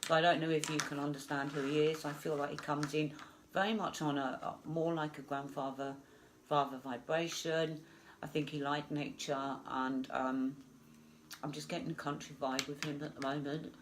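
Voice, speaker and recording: A woman's voice: low-pitched, flat delivery, moderate pace, background noise. A woman with a stuffed-up voice from a flu, giving a chatty live psychic reading with many fillers. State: congested with flu. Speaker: psychic medium.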